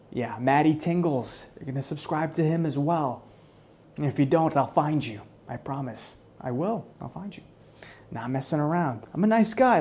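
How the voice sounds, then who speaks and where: Soft-spoken man, mock-menacing ASMR roleplay. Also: mid-range voice, slight background noise. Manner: mock-menacing, teasing, repetitive. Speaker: ASMR channel creator.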